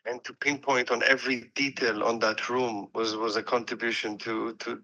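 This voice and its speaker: Israeli-accented man, bright voice, halting, dull lo-fi audio. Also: medium pitch, level tone. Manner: halting, repeats words. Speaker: film director.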